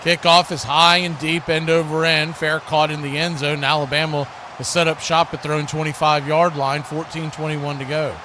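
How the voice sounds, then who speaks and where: Guy doing live football play-by-play, kinda high voice, lots of noise behind him. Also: level tone, normal speed, hardly any pauses. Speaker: sports play-by-play announcer. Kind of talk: live American football commentary. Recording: noisy live stream, clear background noise.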